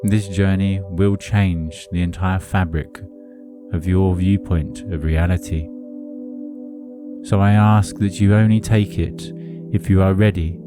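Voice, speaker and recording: A man narrating a hypnotic guided meditation in a soothing, deliberate manner with measured phrasing. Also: low-pitched, steady tone. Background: music under the voice.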